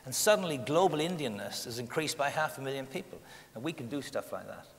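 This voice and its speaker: A man's voice: mid-range voice, expressive, fast, vocal fry. A middle-aged Irish man answering interview questions as a consultant, fluent and full of anecdotes with quoted dialogue. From Ireland. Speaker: guest, diaspora consultant. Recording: echoey hall with faint ambience.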